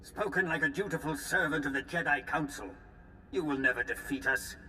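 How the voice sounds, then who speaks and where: An actor playing an arrogant, sadistic Sith torturer who speaks with contempt and menace. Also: male voice, fairly high pitch, brisk, sharp tone, faint music behind, low-quality recording. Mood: scornful. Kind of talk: video game cutscene acting.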